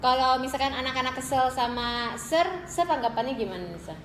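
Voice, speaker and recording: Young woman interviewing, fairly high voice, echoey. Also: fairly quick. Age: young adult. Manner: informal, chatty. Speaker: student radio interviewer. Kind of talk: casual interview. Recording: echoey room with clear background noise.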